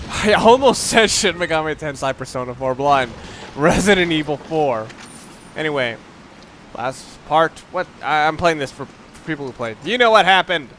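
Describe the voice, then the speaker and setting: A man's voice: fairly high pitch, lively intonation, moderate pace, a bit bright. A young man giving casual, rambling commentary as he plays a video game, often interrupting himself. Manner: rambling, self-interrupting, conversational. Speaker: let's play host. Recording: faint background noise, game sounds underneath. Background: video game sound.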